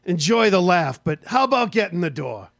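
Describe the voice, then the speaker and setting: A man's voice: high voice, big pitch swings, moderate pace, gravelly, a bit bright, full character voice. A man acting a grumbling, sarcastic working-class husband in a bickering radio comedy-drama, wisecracking in colloquial speech. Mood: exasperated, sarcastic.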